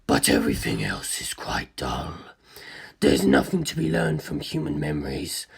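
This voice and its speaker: A narrator voicing an ancient, arrogant superhuman male villain in an audiobook, lecturing humans with cold contempt in a rasping, breathy growl. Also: rumbling low, wildly swooping pitch, moderate pace, pitched below the performer's own voice, heavily acted. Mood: musing, disdainful. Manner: condescending, lecturing, disdainful. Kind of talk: audiobook character dialogue.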